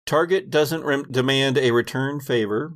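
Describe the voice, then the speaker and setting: A man working as game master, narrating a tabletop game in a measured, descriptive, pausing way. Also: mid-range voice, dry recording, studio quality.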